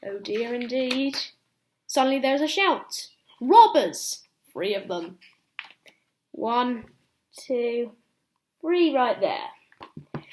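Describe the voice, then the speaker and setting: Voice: mid-range voice, slight background noise. A young reader reading a children's bedtime story aloud, expressively, with explanatory asides to little listeners.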